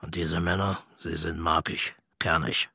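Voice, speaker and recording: Croaky, whispering sardonic man. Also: sits low, little pitch movement, measured pace, pushed and bright, no echo, studio quality, full character voice. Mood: sardonic, hushed. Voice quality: husky, creaky near-whisper. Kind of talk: radio play inner monologue.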